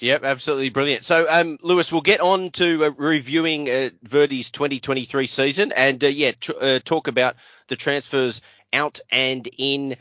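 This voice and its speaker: A man's voice: mid-range voice, fairly even intonation, normal speed, pushed and bright, no echo, dull audio. A man hosting a podcast, chatting casually with frequent "uh" fillers. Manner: hesitant, many "uh" fillers. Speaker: podcast host.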